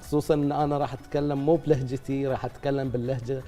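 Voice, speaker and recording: A middle-aged man, an actor being interviewed, speaking reflectively at length with pauses. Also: mid-range voice, level tone, dark tone, soft background music. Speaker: actor guest. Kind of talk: casual interview talk.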